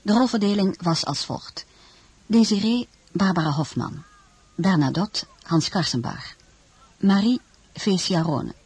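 Very husky lady radio announcer doing end credits, formal, totally dry sound. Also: medium pitch, expressive, measured pace, pauses often, darkish tone, no echo.

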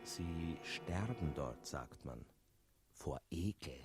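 Talking tomcat narrator, sly and playful, very animated, old radio play with music. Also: male voice, low-pitched, slow pace. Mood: foreboding. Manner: playful, theatrical, digressive, punctuated by meows. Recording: 1960s radio studio recording with music.

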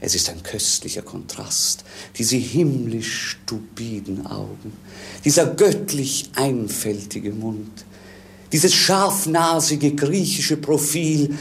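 An actor playing a romantic, idealistic young prince in a 1950s German radio play, declaiming poetically. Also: male voice, medium pitch, animated, takes it slowly, vocal fry. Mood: rhapsodic, yearning. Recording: noisy 1950s radio recording.

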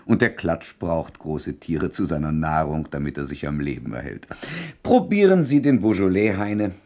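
An actor playing a worldly gentleman friend, a man with a husky voice and an urbane, admonishing manner in a radio play. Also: low voice, big pitch swings, normal speed. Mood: genial, teasing. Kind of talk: German radio play dialogue.

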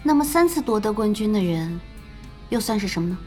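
A woman playing a composed, perceptive, competitive young gamer in a radio drama, calm and understated. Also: medium pitch, brisk, lightly acted. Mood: challenging, thoughtful. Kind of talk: Chinese radio drama acting. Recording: music behind the voice.

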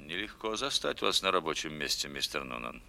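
Stern formal boss, low voice, very sharp and tense, businesslike, radio play. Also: male voice, big pitch swings, brisk, gravelly, full character voice. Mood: cool, businesslike. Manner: formal, clipped, courteous but commanding. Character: formal male superior official, cool and businesslike. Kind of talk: radio drama acting.

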